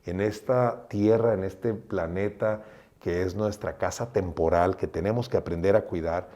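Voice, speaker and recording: A middle-aged man from Mexico with a low, mellow voice, speaking as a doctor in an interview. He talks earnestly and unhurriedly, often repeating words as he restarts his phrases. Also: steady tone, measured pace. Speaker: guest doctor.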